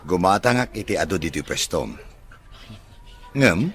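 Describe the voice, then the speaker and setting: A man's voice: low voice, animated, measured pace, fairly bright tone, faint music behind. A man playing a smitten, gullible rich bachelor who dotes on his mother, in an Ilocano radio drama. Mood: flirty, eager.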